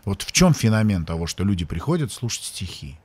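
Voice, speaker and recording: A man hosting an interview, asking halting questions with many pauses and fillers. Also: lowish voice, sing-song, a little mellow, breathy edge, dry recording.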